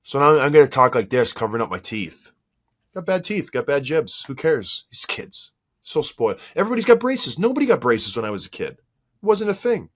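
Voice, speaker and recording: A man in his forties hosting a hockey podcast, slightly hoarse, telling self-mocking stories in a casual, animated way. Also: mid-range voice, a little fast. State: a little hoarse. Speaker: podcast host.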